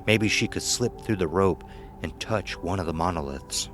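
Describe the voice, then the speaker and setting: A man's voice: sits low, moderate pace, music in the background. A man reading a scripted horror story as narrator.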